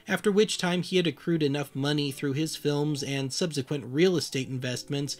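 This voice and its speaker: Guy with a slightly high voice doing wry documentary host narration, reading from a script. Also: moderate pace.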